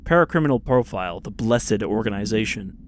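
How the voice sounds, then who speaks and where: A young man commentating on a game as a let's play host, rambling casually and reading in-game documents out loud. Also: lowish voice, expressive, fairly quick, slight background noise. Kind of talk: gameplay commentary, reading in-game files aloud.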